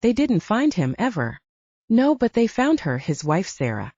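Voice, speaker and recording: A candid, self-mocking young female werewolf soldier, acted out by a woman audiobook reader. Her chatty delivery swings widely in pitch, with a mellow tone on a slightly muffled, dry recording. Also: big pitch swings, a little slow, slightly rough, no echo, exaggerated voice. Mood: candid, wry. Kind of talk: audiobook character dialogue. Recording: dull, lo-fi sound.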